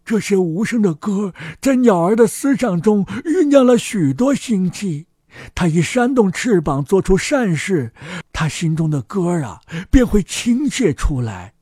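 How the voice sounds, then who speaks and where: High, husky male storyteller with animated delivery. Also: dry recording, studio quality. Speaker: children's story narrator and co-host. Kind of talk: expressive scripted fairy-tale narration.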